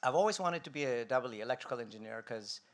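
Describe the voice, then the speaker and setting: A man from Ethiopia introducing himself on a panel, relaxed and joking, with frequent ums. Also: mid-range voice, racing. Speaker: solution architect on a panel.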